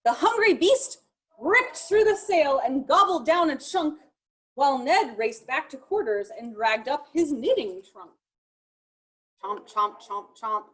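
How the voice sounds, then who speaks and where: A woman reading a children's picture book aloud expressively, in measured rhyme with chatty asides. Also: high-pitched, poor audio. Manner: expressive, measured rhyming delivery. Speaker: storytime reader.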